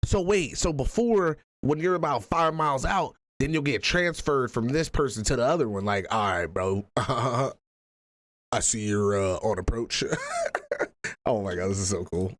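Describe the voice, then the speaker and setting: A man giving casual, excitable reaction commentary full of slang and swearing. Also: mid-range voice, a little slow. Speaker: reaction streamer.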